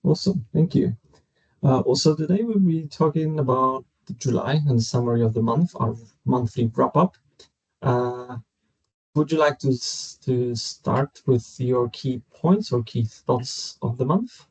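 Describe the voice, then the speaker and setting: A man in his forties hosts a casual chat over a video call. His voice is slightly husky at a middle pitch, and he speaks in a friendly, upbeat way. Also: unhurried, darkish tone, low-quality recording. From Poland. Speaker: podcast host.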